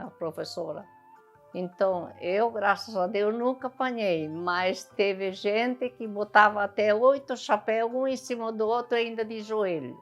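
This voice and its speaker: An older woman, recounting school memories in an unhurried, conversational way. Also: mid-range voice. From southern Brazil. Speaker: interviewee.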